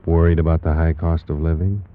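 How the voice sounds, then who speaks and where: A man introducing a radio drama in an ominous, slow, deliberate manner. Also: a bass voice, steady tone. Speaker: show announcer. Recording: muffled old radio with music.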